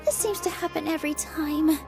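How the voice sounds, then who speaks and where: A woman playing a gentle, modest young theater dancer in a video game, with a polite, friendly manner. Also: sits high, a little fast. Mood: cheerful, flustered. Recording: game dialogue over clear background music. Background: music.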